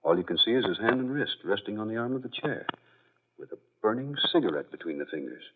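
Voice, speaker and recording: An actor playing a well-mannered, startled husband lost on the road, with genteel exclamations and an insistent manner, in an old-time radio drama. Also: male voice, on the low side, lively intonation, fast. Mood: uneasy. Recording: muffled lo-fi old radio recording.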